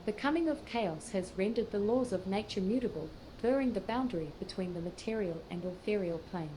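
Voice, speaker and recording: A woman's voice: mid-range voice, animated, warm tone, slight background noise, lightly acted, poor audio. An actress playing an earnest, scholarly female sage in a fantasy game, pensive and formally spoken. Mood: solemn reflection. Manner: measured, pensive, archaic phrasing. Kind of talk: scripted fantasy game dialogue.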